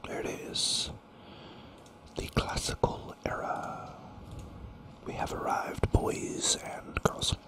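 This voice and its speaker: Whispering man musing over a strategy game. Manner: rambling, musing, toys with repeated words; soft and unhurried, thinks aloud. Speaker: gaming channel host. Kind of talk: whispered ASMR gameplay commentary.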